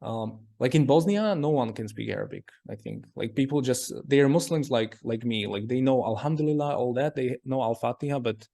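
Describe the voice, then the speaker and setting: A man's voice: mid-range voice, fairly quick, vocal fry, hardly any pauses, dark tone. A man as an interview guest in casual conversation, talking in loose, rambling sentences full of "like". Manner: rambling, heavy use of "like".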